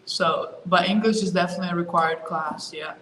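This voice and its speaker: A young woman from Ukraine answering interview questions about her move and her sport, talking in a relaxed way with lots of fillers. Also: lowish voice, brisk, slight background noise. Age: young adult. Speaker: college basketball player, interview guest. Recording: roomy with faint ambience.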